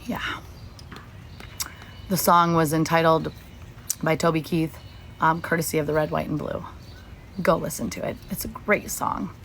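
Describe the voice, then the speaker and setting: A young woman from the United States talks on a casual livestream in a lowish voice, rambling and candid with lots of likes and ums. She swears freely. Faint outdoor noise sits under her phone audio. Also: little pitch movement, unhurried, pauses often, fairly bright tone. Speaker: livestream host. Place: outdoors at a beach or lake.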